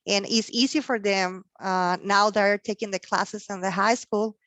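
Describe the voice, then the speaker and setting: A woman's voice: mid-range voice, fairly even intonation, a bit bright, no room sound. Woman with a Mexican accent, dry sound. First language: Spanish. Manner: halting, many fillers and restarts. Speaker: career panel speaker. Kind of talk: career talk to students.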